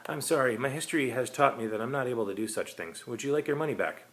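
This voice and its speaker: A man reading a short story, voicing a female spirit medium with a calming, hypnotic, theatrical delivery. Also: medium pitch, fast, voice pushed up, lightly acted. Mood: polite, regretful. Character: sham female spirit medium, solemn and hypnotic, secretly cynical.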